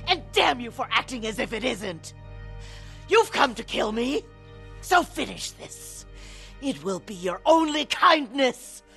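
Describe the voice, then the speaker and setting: An actress playing a bitter, resentful older noblewoman villain in a video game, deliberate and venomous in her words. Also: fairly high pitch, animated, vocal fry, sharp tone, faint music behind, heavily acted. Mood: bitter rage. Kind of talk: dramatic game voice acting.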